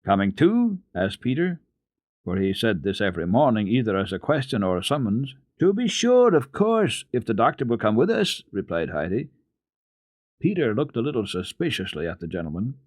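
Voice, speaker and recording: A man giving a measured, dramatic reading of a children's story as a radio storyteller. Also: mid-range voice, no echo, studio quality. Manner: expressive, measured storytelling delivery. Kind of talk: dramatic scripted narration of a children's book.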